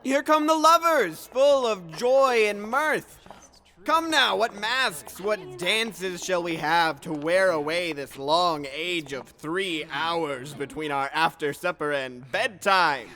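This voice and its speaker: A man playing a grand, genial yet skeptical duke of Athens, declaiming in a measured, theatrical Shakespearean style. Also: sits high, animated, sharp tone, exaggerated voice. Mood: jovial, eager. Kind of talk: theatrical Shakespearean audio drama acting. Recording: long reverberant hall echo.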